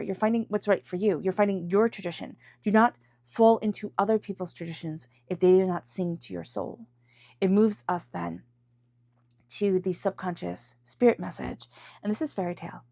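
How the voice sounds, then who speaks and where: Woman, medium pitch, congested from a cold, tarot reader, emphatic repeated phrasing, speaking to viewers, dry recording. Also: vocal fry, darkish tone, no room sound. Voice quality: congested, stuffy nose. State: has a head cold. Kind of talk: intuitive tarot reading addressed to viewers.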